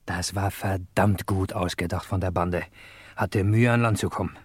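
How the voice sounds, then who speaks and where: Cocky low-voiced hard-boiled detective played by a man. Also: steady tone, normal speed, natural voice. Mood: wry, boastful. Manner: wry, swaggering, colloquial. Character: tipsy hard-boiled male private detective, cocky and jaunty. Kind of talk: 1950s German radio crime play, first-person narration.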